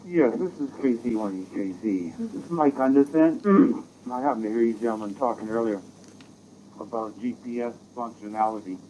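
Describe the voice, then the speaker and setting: A man's voice: medium pitch, normal speed, pauses often, mellow, slight background noise, rough recording. A man speaking casually as an amateur radio operator, heard over a radio transmission. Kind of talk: casual ham radio chat.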